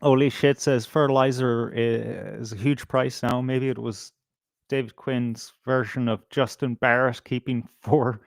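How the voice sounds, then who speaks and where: A man's voice: medium pitch, fairly even intonation, unhurried, gravelly, no echo, studio quality. A man hosting a live stream, talking casually in a rambling, sweary, unscripted way. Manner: rambling, sweary, easily distracted. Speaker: host of a live stream show.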